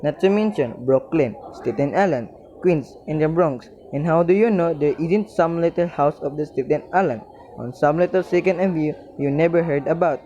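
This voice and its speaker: Low, dark-voiced police desk officer played by a woman. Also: moderate pace, dull audio, slight background noise, close to an everyday voice. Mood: dismissive, patronizing. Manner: matter-of-fact, patronizing. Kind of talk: radio play acting.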